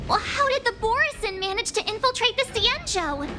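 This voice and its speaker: A bold, breezy young girl in a video game adventure. Her high voice bounces around with perky confidence and a cheeky, informal delivery, music underneath. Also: lively intonation, normal speed. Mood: puzzled, wry. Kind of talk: animated video game voice acting.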